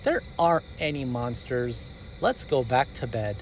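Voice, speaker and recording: A man's voice: mid-range voice, animated, measured pace, slight background noise. A man voicing a motherly, practical mama bear in a children's storybook, soothing in manner.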